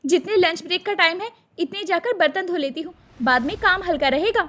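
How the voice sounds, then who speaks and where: A woman's voice: very high-pitched, fairly quick, a bit bright. A woman playing a hardworking, harried young daughter-in-law in a dramatised family story, exclaiming theatrically. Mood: brisk. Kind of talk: dramatised Hindi moral-story acting.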